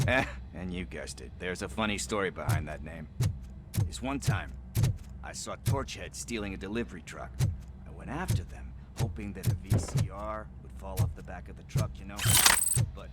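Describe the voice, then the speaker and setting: A shifty small-time hustler who sells tips to police in a video game. The voice is deep but the delivery is slippery, rambling and jokey, always working an angle. Noticeable ambient noise runs behind him. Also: male voice, expressive, normal speed. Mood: chatty, wry. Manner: glib, wheedling, rambling, jokey. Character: shifty, chatty male street hustler and police informant.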